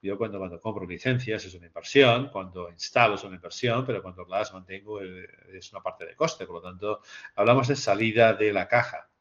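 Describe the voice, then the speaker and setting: A man's voice: on the low side, a little fast, a bit bright. A man giving an explanatory lecture over slides, repeating phrases for emphasis and posing rhetorical questions. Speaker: lecturer presenting a business case to master's students. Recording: dull, lo-fi sound.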